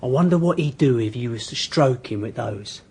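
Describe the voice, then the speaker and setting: A man's voice: mid-range voice, expressive, moderate pace, darkish tone, husky. An actor playing a mocking, menacing male thug with a London accent in a radio drama. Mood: mocking menace. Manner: mocking, taunting rhetorical questions. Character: menacing raw-boned male thug built like a prizefighter.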